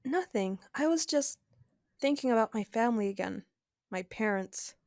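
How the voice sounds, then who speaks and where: A woman's voice: on the high side, animated, normal speed, a little mellow, dry recording, clean studio sound. A woman playing an emotional, troubled young wife in a science fiction audio drama. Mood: uneasy, affectionate.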